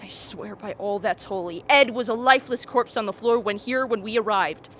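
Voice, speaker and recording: Woman doing a tough sarcastic crew leader, sharp highish voice, radio play, slight background hum. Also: normal speed, natural voice. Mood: grim. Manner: sardonic, authoritative. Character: commanding, sardonic expedition leader among frightened crew. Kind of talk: radio drama acting.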